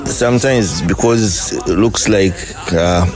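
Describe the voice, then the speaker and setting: A man hosting a sports panel podcast, speaking in halting, playful phrases. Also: lowish voice, music in the background, rough recording. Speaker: podcast host.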